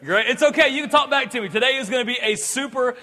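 A pastor in his thirties preaches with a high, bright, forceful voice. He jokes, stammers and repeats words as he builds energy. The sound rings with long reverb from a large hall. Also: fast, slight background noise. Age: 30s. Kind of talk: energetic sermon.

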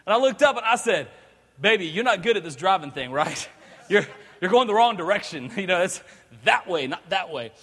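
A pastor in his thirties preaches with a high, bright, forceful voice. He jokes, stammers and repeats words as he builds energy. The sound rings with long reverb from a large hall. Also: animated, moderate pace. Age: 30s. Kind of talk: energetic sermon.

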